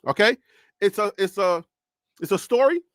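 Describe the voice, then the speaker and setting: A man's voice: high-pitched, lively intonation, moderate pace, clean tone, dry recording. A man with a Liberian accent hosting a live sports talk stream from his home studio, talking straight to his viewers in a loose storytelling way. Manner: storytelling, chatty with audience. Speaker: host.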